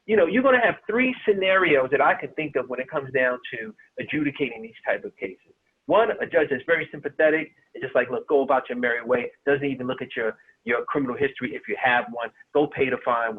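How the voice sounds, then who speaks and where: Male legal co-host, husky, slangy, tinny call audio. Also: fairly high pitch. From New York. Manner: street slang, acts out imagined judges. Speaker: lawyer co-host. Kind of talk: animated legal talk show commentary. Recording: phone-like remote audio.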